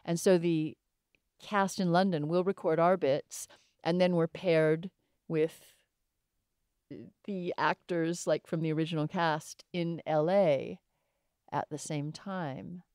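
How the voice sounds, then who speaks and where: A woman's voice: on the low side, steady tone, takes it slowly, darkish tone, clear, resonant tone, dry recording, studio quality. A woman actor talking casually in an interview, telling anecdotes in a reflective, conversational way.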